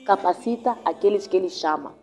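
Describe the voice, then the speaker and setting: A woman pastor whose first language is Swahili shares her story in an earnest, reflective way. Her voice sits at an ordinary middle pitch, and she often pauses and restarts. Soft music plays faintly behind her. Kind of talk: testimony in a conversational interview.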